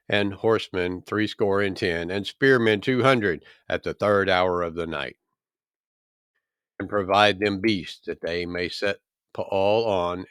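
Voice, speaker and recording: Male scripture narrator, lowish pitch, very unhurried and declamatory delivery, dry close sound. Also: a bit bright, clean tone, no room sound. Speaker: scripture reader. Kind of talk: solemn scripted Bible reading.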